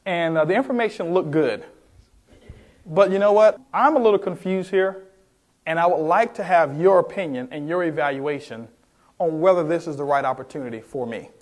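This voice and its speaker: A man's voice: fairly high pitch, normal speed. A man speaking as a sales trainer at a motivational seminar, emphatic and often putting questions straight to his listeners. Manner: emphatic, addresses the audience with questions. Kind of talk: motivational sales seminar talk with role-played phone calls.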